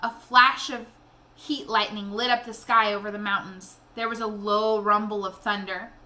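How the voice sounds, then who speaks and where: A woman reading a children's novel aloud in an expressive storytelling style. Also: medium pitch, moderate pace.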